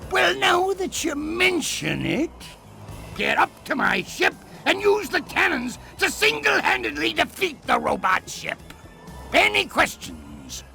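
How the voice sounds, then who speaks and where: A man voicing a blustering, melodramatic old ghost pirate captain in a cartoon game, gravelly and theatrical. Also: extremely high pitch, huge pitch swings, a little slow, pushed and bright, soft background music, full character voice. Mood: commanding. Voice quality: gravelly, creaky. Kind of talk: cartoon game character acting.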